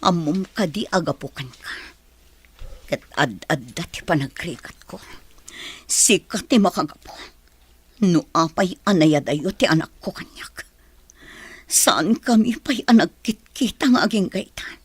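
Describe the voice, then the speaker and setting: An actress playing a sorrowful, reproachful but forgiving woman in a radio drama, with a husky, breathy voice. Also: lowish voice, animated, a little slow, pauses often, no room sound. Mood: hurt, reproachful.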